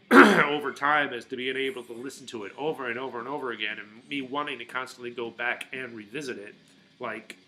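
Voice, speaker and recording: A middle-aged man talks to his viewers in a loose, rambling way, full of restarts and filler words. His voice is very bright and forward, set at a middle pitch. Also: rough recording. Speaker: vlogger. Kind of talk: casual rambling monologue to viewers.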